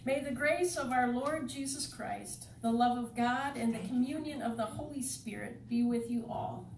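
A woman's voice: fairly high pitch, moderate pace. A woman leading a church service in a folksy, informal way, as a pastor speaking to a congregation. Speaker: worship leader, pastor. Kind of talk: church worship service, informal welcome, prayer and scripture reading. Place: church sanctuary during a service. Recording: noisy, echoey church, distant microphone.

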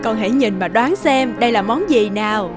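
Gentle cartoon mother, voiced by a woman, over music. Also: on the high side, lively intonation, normal speed, natural voice. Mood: playful, coaxing. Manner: explanatory, coaxing. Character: patient, gentle mother teaching her young son. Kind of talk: cartoon dialogue, moral story. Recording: loud music under the voice.

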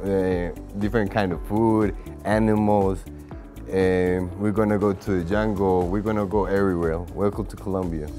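A man's voice: low-pitched, fairly even intonation, a little slow, over music. A man giving a promotional invitation as a tour guide.

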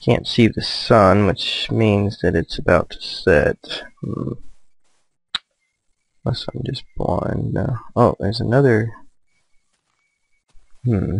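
Slow, creaky-voiced man playing a game. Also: no echo, low-quality recording. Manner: low-key, hesitant, musing to himself. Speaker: gamer, let's play host. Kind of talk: casual let's play commentary.

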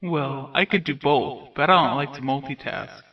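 A man voicing a world-weary, sardonic skeleton brother in a comic dub, with a hesitant, deadpan drawl. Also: medium pitch, moderate pace, far from the mic, dull audio, lightly acted. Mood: sarcastic. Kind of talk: comic dub character acting.

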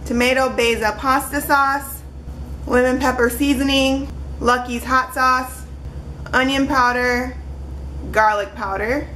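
A woman's voice: sits high, fairly even intonation, slow, sharp tone, slight background noise, low-quality recording. A young woman in her twenties chatting casually in a home kitchen, holding up groceries one by one for a webcam vlog. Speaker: vlogger.

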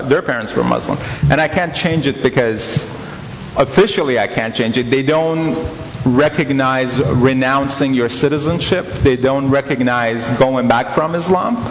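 A man's voice: medium pitch, slight background noise. A man, giving an earnest guest talk at a church and often restarting his phrases. He speaks into a headset mic. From Iran. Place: church hall, indoors. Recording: echoey church hall reverb.

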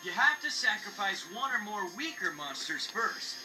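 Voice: medium pitch, very animated, moderate pace, close to an everyday voice, low-quality recording. An actor playing a confident, friendly teenage boy who explains things casually in an English anime dub. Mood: instructive. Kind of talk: English-dubbed anime acting. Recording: TV playback filmed, music behind.

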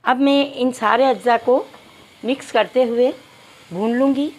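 High-pitched woman giving cooking instructions. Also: fairly even intonation, a little slow, rough recording. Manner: explains step by step, addressing viewers politely. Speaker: cooking channel host. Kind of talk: cooking tutorial narration.

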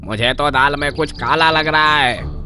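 A man's voice: fairly high pitch, steady tone, a little slow, bright, forceful tone, soft background music. A man voicing a sly male jackal who advises the lion, wary and scheming. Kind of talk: cartoon dubbing.

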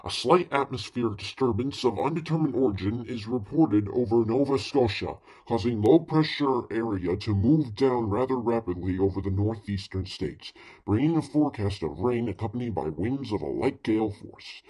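A man playing a formal 1930s radio news announcer in a radio play, reading bulletins in a husky voice. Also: mid-range voice, animated, moderate pace, few pauses, a little mellow, poor audio. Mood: measured, formal.

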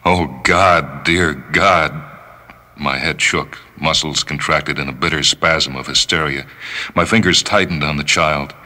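Man acting a very deep, anguished husband. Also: bright, forceful tone, big-room sound, heavily acted. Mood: anguished horror. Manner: slow, halting, strained delivery. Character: anguished young husband and father in shock.

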